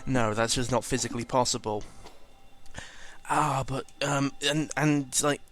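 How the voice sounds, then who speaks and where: A man's voice: medium pitch, steady tone, a little slow, a bit bright. A man giving casual, rambling game commentary, informal and sweary, often correcting himself as he goes. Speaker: gaming video commentator.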